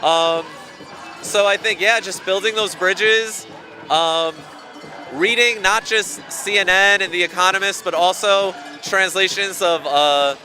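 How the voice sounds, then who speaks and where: A man's voice: high-pitched, a little slow, sharp tone, soft background music. A hungry man speaking informally and ramblingly, with many fillers, in an outdoor street interview. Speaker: activist.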